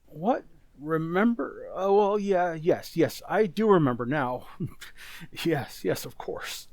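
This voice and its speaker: A man acting a troubled, forgetful factory boss in an old-style radio drama, halting and uneasy. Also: a little high, expressive, a little mellow, no room sound. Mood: confused, flustered.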